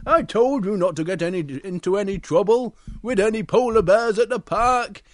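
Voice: medium pitch, no echo, studio quality, pitched above the performer's own voice, close to an everyday voice. A storyteller voicing a big, protective, cautious male bear who warns and scolds emphatically in a children's story. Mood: scolding. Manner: emphatic, slow, admonishing.

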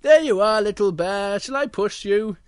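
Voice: medium pitch, normal speed, no room sound, clean studio sound, voice pushed up, natural voice. A storyteller voicing a blunt, possessive but friendly male polar bear in a children's story, speaking emphatically.

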